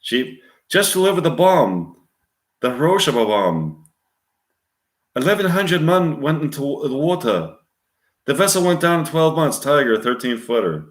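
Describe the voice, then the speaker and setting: A grizzled sailor, acted by a man, tells a grim movie tale in an attempted Irish accent. The delivery is grave and halting, pitched up in an echoing room. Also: very animated. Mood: grim, haunted. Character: grizzled Irish sailor recounting a shark horror. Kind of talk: movie monologue reading. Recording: roomy, echoing space.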